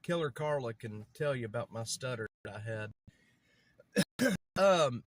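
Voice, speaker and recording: A man's voice: mid-range voice, lively intonation, unhurried. Man with a stutter. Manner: rambling, repeats himself, many fillers. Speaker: livestreamer. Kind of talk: casual livestream chat. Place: outdoors on a city street, moving around.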